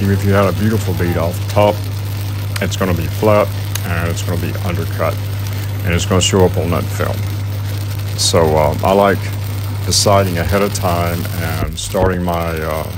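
Deep-voiced folksy male welding instructor. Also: noisy background. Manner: folksy, plainspoken, practical. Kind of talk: instructional voice-over narration.

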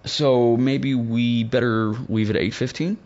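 A man's voice: medium pitch, steady tone, a little slow, a little mellow, highs cut off, lightly acted. A man playing a cautious air traveller in a scripted lesson dialogue. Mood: careful.

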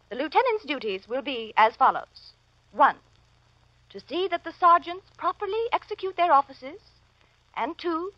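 An actress playing an officious, self-important office supervisor with formal, speech-making delivery in a vintage radio comedy. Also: female voice, sits high, no echo. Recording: muffled, lo-fi old radio recording.

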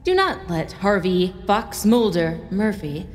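An actress playing a tough, wry investigator in a noir audio drama, with a sardonic, casual way of talking. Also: female voice, medium pitch, sing-song, slow pace, slight background noise. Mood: brisk, wry.

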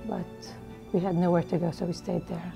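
A woman's voice: fairly low pitch, level tone, a little fast, vocal fry, dark tone, faint music behind. A middle-aged woman from Liberia, recounting her life story in a seated studio interview with a conversational manner and frequent fillers. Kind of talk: personal storytelling. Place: studio with dark backdrop.

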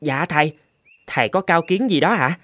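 A high-voiced, earnest young monk. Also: male voice, animated, moderate pace, fairly bright tone, no echo. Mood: respectful. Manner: deferential, earnest. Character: respectful young male monk, earnest disciple. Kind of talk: cartoon folk-tale dubbing. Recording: music under the voice.

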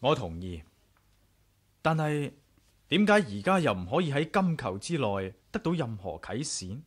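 An actor with a Hong Kong Cantonese accent playing a confident, inquisitive adventurer hero in a 1980s radio drama. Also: male voice, medium pitch, big pitch swings, measured pace, slightly rough. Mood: probing, curious.

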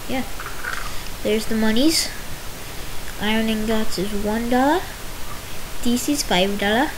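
A woman's voice: on the high side, slow pace. A young woman giving a rambling, self-interrupting commentary while touring a game world she built. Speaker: Minecraft video host. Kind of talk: casual gameplay tour commentary. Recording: hissy home recording.

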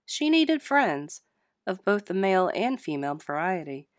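A woman's voice: lowish voice, sing-song, moderate pace, no room sound, studio quality. A woman narrator reading a cozy mystery novel aloud in a scripted storytelling style. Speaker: audiobook narrator.

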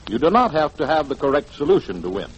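A man announcing formally and emphatically in the style of 1940s radio promotion, with a husky voice. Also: a little high, sing-song, fast. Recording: dull, lo-fi 1940s radio broadcast.

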